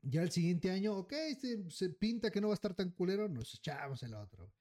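A man's voice: sits high, lively intonation, brisk, mellow. A young man in his twenties with a Mexican accent, joking in slang as a podcast co-host. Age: 20s. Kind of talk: casual chat.

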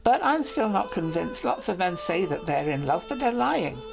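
A woman voicing a proud, clever young noble lady who speaks sweetly and persuasively, with soft music behind her. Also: lowish voice, very animated, moderate pace, creaky. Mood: teasing, cautious.

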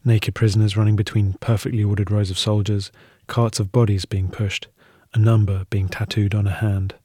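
A man's voice: fairly low pitch, little pitch movement, normal speed, mellow, slightly rough, dry recording, clean studio sound. A man reading scripted documentary narration as one of the narrators.

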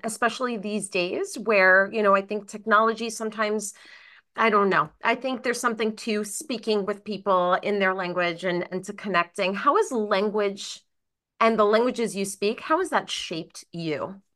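A woman's voice: mid-range voice, normal speed, sharp tone. A woman whose first language is French hosts a podcast interview in a chatty way, often trailing off with fillers. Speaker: podcast host. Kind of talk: conversational interview.

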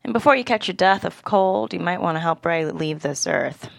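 A woman as a creaky, blunt, folksy older woman. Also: lowish voice, expressive, normal speed, dry recording. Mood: dryly scolding. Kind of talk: dramatic table read of a screenplay.

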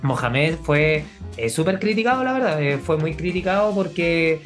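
A young man with a Chilean accent, speaking in a slightly higher register. He chats casually with his co-host, stacking up fillers and laughing easily. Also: animated, moderate pace, faint music behind. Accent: Chilean Spanish. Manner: chatty, laughs often, many fillers. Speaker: podcast co-host. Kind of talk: casual chat.

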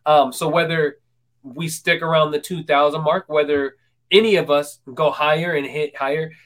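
A man's voice: fairly high pitch, steady tone, moderate pace, a bit bright. A man in his twenties hosting a casual interview, full of ums and restarts. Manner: hesitant, many ums and restarts. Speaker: podcast host.

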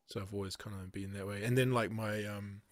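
A man's voice: lowish voice, fairly even intonation, fairly quick, no echo. A man with a New Zealand accent hosting a podcast, laid-back and casual, laughing often and calling his guest "bro.". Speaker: podcast host. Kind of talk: casual chat.

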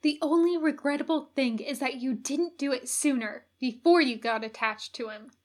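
A woman's voice: high voice, moderate pace, lightly acted. A woman voicing a heartbroken male angel who scolds himself resolutely in an audiobook reading. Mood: bitter self-reproach.

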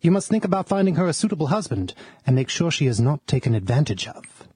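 Casting: gallant country parson of Victorian times, lively inflection, husky mellow voice, formal radio drama. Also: male voice, on the high side, fast, no room sound, exaggerated voice. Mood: earnest, solicitous. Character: courtly, fatherly Victorian village parson. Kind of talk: period radio drama acting.

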